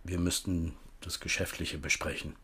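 An actor playing an evasive middle-aged tenant farmer who talks business in a smooth, guarded way in a radio play. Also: male voice, low-pitched, steady tone, normal speed, bright, forceful tone, full character voice. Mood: businesslike. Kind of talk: 1970s radio play acting.

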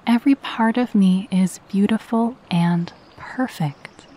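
Soft, husky, mellow woman guiding meditation. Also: medium pitch. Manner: gentle, soothing, unhurried delivery. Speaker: meditation guide. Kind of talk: guided meditation with spoken affirmations.

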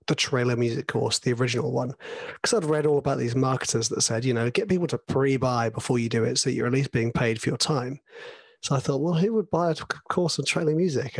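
A man hosting a podcast, hoarse from a cold, talking casually with rambling asides. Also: mid-range voice, dry recording. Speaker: podcast host.

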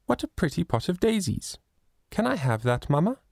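An eager little girl who chatters and pleads in a raised, lively voice, as a man reading a storybook puts her on. The sound is close and dry, with no room around it. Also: fairly high pitch, moderate pace, gravelly, dry recording, voice pushed up, heavily acted. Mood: eager pleading. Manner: pleading, excited.

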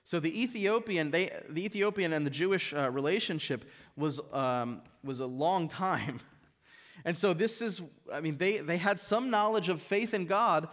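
Animated high-voiced man preaching. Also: normal speed. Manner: animated, rising to emphatic high peaks. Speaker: guest preacher. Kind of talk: sermon with scripture reading. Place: church stage, mid-size indoor hall. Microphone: stand mic at a pulpit.

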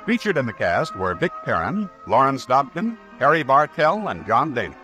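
A man's voice: medium pitch, huge pitch swings, normal speed, bright, forceful tone, faint music behind. A man announcing 1950s radio credits in a formal, declamatory way. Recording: old radio broadcast recording.

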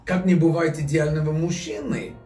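A man in his fifties talks straight to the camera with a lively, direct manner, his voice sitting a touch high for a man. He is a little far from the mic in a roomy restaurant space, and music runs under his speech. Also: moderate pace. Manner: lively, direct, talks with his hands while holding a glass. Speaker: video host. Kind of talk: casual advice vlog to camera. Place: indoors in a decorated restaurant room.

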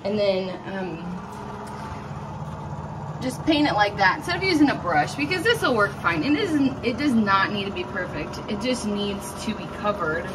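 A woman's voice: medium pitch, lively intonation, normal speed. A woman rambles through a home kitchen project, stopping and restarting her sentences with plenty of ums. She is recorded on a handheld phone indoors. A faint background hiss sits under her voice. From Nebraska, USA. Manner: chatty, rambling, many "um"s and restarts. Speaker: farm vlogger. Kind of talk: casual DIY vlog narration. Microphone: handheld phone camera.

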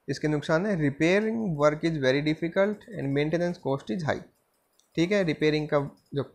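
A man giving a civil engineering slide lecture. He reads each line slowly, then explains it in Hindi, with frequent "theek hai" fillers. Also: fairly high pitch, breathy edge. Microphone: voice-over on screen-recorded slides.

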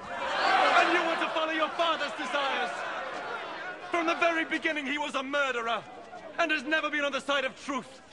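An actor in his thirties playing an impassioned young preacher confronting doubters in a film drama, declamatory and earnest. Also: very high voice. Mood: impassioned, accusing. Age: 30s. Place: open stone courtyard. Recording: noisy outdoor crowd ambience.

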